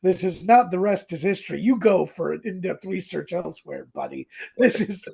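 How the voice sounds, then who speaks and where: Podcast co-host, husky and creaky, theatrical and playful, casual comic chat. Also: medium pitch, normal speed, a little mellow. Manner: theatrical, playfully mock-dramatic. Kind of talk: casual comedic chat about old radio drama.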